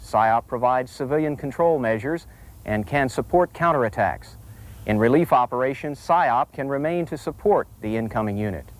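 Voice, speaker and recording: American male, mid-range voice, formal and measured presenter for scripted military instructional narration. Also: medium pitch, rough recording. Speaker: military training film presenter.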